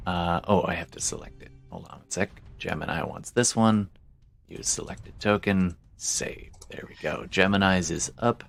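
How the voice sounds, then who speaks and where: Low, gravelly-voiced male game master. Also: moderate pace, a bit bright. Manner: informal, muttering to himself while working. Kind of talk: casual chat while running an online tabletop game.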